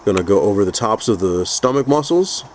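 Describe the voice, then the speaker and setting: A man's voice: on the low side, a little fast, slight background noise. Stuffy-voiced man teaching figure painting. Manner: calm, methodical, with frequent restarts. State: stuffy, congested from a cold. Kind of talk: painting tutorial narration.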